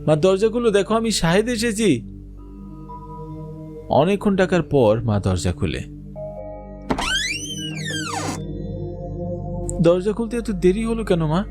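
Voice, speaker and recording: A young village son recounting a horror cartoon tale. His delivery is suspenseful and expressive, with big swings in pitch, over background music. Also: normal speed, long pauses. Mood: anxious, pleading. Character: Shahed, grown son narrating his eerie village ghost story. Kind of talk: dramatic scripted first-person horror narration and dialogue.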